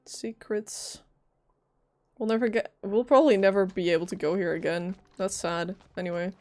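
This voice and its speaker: A woman's voice: medium pitch, steady tone, moderate pace, a little mellow. A woman live-streaming a game, giving informal, casual reactions and commentary with some swearing.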